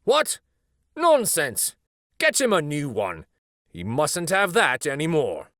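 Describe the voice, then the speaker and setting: Storybook doctor, played by a man, brusque, dismissive, scolding, emphatic, raised pitch, swooping delivery. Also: wildly swooping pitch, slow pace, a bit bright, no echo, studio quality, exaggerated voice. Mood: indignant, dismissive.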